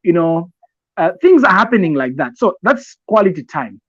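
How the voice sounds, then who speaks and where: A man from Zambia with a Zambian accent, giving conversational advice as a podcast co-host, explaining deliberately and haltingly with repeated phrases. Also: high-pitched, sing-song, no room sound, lo-fi sound. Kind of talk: conversational advice talk with personal examples.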